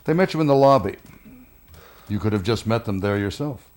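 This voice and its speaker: Male actor as film police interrogator: low voice, measured, pressing, insistent, naturalistic delivery. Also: animated, a little mellow, lightly acted. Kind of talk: naturalistic film drama acting.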